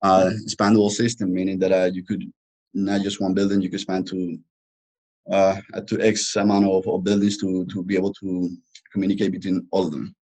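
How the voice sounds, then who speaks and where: A man presenting an informal technical webinar as a product trainer, explaining haltingly with frequent restarts and repetitions. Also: deep voice, monotone, a little mellow, rough recording.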